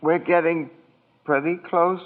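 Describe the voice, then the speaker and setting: High, slow, timid angel played by a man. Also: fairly even intonation, natural voice. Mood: hesitant, curious. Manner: hesitant, deferential. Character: timid, eager male junior angel, deferential. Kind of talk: 1940s radio drama acting. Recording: dull old radio recording.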